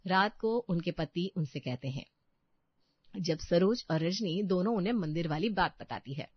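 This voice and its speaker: A woman's voice: lowish voice, lively intonation, normal speed, husky, no room sound. Woman narrating a story, dull dry sound.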